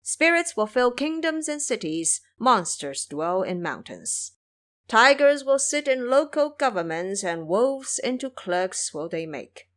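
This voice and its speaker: A woman voicing a serene old male Buddhist master in an audio drama, speaking in measured, chant-like, cryptic phrases. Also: fairly high pitch, big pitch swings, a bit bright.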